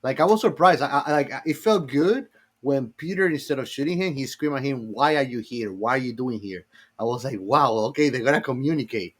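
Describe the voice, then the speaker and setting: A man's voice: mid-range voice, lively intonation, a little fast. A man co-hosting a casual film discussion in an informal, slangy way, repeating phrases for emphasis. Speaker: podcast co-host.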